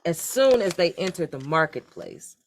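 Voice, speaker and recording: A woman reading a children's story aloud in an expressive, deliberate storytelling manner. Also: on the low side, a little hiss or hum, poor audio. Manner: animated, deliberate read-aloud delivery. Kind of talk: expressive scripted storybook narration.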